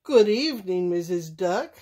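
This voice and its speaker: A woman's voice: lowish voice, animated, measured pace, dark tone. A woman voicing a misunderstood male wolf in a children's storybook, earnest, easily hurt and emphatic. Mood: polite.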